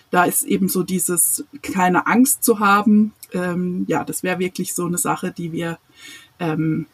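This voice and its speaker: Podcast guest, breathy, earnest, laughs often. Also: mid-range voice. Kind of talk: casual podcast conversation.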